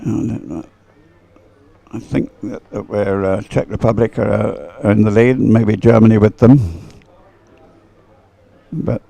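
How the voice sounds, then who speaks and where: A male sports commentator with a fairly low, mellow voice and a noticeable gravelly creak. He calls boat races live in an unhurried, explanatory manner, often hesitating as he searches for the next detail. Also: steady tone. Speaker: race commentator.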